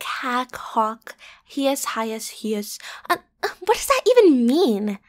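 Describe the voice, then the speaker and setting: A woman playing a bratty, playful popular student girl in an ASMR roleplay, with casual, slangy, teasing delivery. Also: sits high. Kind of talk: ASMR roleplay acting, one side of a conversation.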